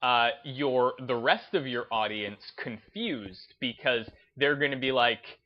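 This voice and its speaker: A man giving opinionated, unscripted commentary as a video host, stressing his points emphatically and deliberately with short declarations. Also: medium pitch, highs cut off.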